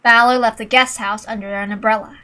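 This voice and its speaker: A woman's voice: fairly high pitch, fairly even intonation, brisk, pushed and bright, clear, resonant tone. A woman reading scripted fiction aloud as narrator, in a dramatic storytelling style. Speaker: amateur reader and narrator of a visual novel.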